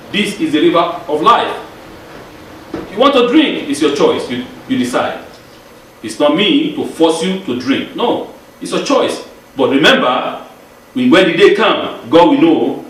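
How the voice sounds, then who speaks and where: Guy preaching, high lively voice, echoey far-off mic in a hall. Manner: animated, repeats words, acts out little voices. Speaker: congregation teacher. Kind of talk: Torah portion sermon. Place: congregation hall.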